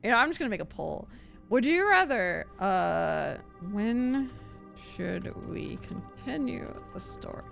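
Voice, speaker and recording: A woman chats casually on a live game stream. Her delivery is lively and very varied in pitch, giggly and talkative as she thinks out loud. Faint music plays behind her. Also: unhurried, vocal fry. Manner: giggly, chatty, thinking aloud.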